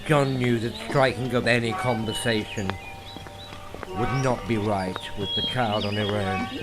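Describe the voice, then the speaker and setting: Male horror narrator, lowish voice, theatrical and unhurried, with deliberate pauses. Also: fairly even intonation. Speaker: story narrator. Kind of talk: dramatic scripted horror story narration. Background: music.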